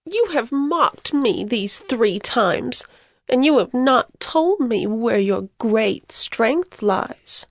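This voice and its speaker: High-voiced seductive Delilah. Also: female voice, animated, very slow, dry recording, full character voice. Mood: coaxing, reproachful. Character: Delilah, coaxing seductive Philistine-era temptress. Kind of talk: dramatized scripture acting.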